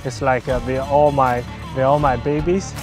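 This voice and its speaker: A man's voice: mid-range voice, little pitch movement, moderate pace, music in the background. A man with non-native English phrasing giving a documentary interview as a solar farm engineer.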